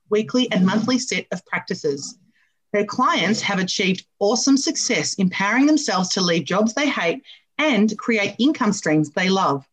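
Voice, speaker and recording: A woman's voice: medium pitch, poor audio. A woman hosting a radio interview show, introducing her guest with upbeat enthusiasm.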